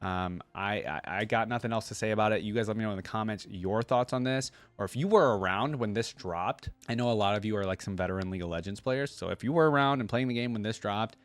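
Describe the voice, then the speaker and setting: A man's voice: fairly low pitch, quick pace, few pauses, no room sound. A man giving casual, enthusiastic reaction commentary as a video host, speaking informally with slangy asides. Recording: music playing behind the voice. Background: game music.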